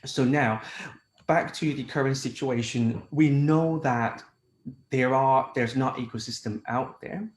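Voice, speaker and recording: A man's voice: mid-range voice, a little slow, echoey room. A man from Hong Kong who works as an international technical advisor, giving a conference presentation with many fillers and restarts. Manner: hesitant, frequent fillers and restarts.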